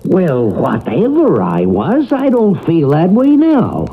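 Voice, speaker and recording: Old lo-fi radio play, a sarcastic cranky sick man, blustery and unhurried, kinda rough voice. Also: a little high, wildly swooping pitch, exaggerated voice. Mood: skeptical. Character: cantankerous, sarcastic sick man refusing to die. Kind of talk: classic radio drama acting. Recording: dull, lo-fi old radio recording.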